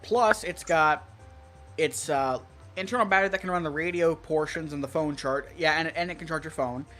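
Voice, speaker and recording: An American enthusiast talks casually at a middle pitch, rambling through technical details. The delivery is opinionated and conversational, as on an online stream, with faint music behind it. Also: moderate pace, fairly bright tone. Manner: opinionated, rambling, listing features. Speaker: stream co-host, weather radio collector.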